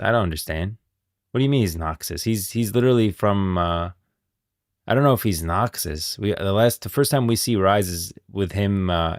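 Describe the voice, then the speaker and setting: A man's voice: sits low, gravelly, no echo, clean studio sound. A man streaming casual commentary on game lore, thinking aloud in a rambling way and often repeating himself. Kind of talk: casual live reaction commentary.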